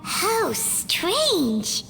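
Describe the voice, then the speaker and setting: Tiny excitable fairy companion: extremely high, swooping, unhurried childlike delivery, cutesy game style. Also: female voice, vocal fry, heavily acted. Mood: puzzled. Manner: cutesy, refers to self in third person. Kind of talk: video game cutscene acting. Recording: long reverb, loud music behind.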